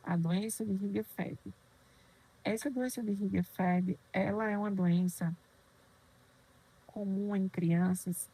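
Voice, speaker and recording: Woman lecturer, husky warm tone, didactic, checks in with the listener often. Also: mid-range voice, steady tone, slow, long pauses, poor audio. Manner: didactic, poses questions and answers them, frequent "né?". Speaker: pediatric dentistry lecturer. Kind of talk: explanatory lecture.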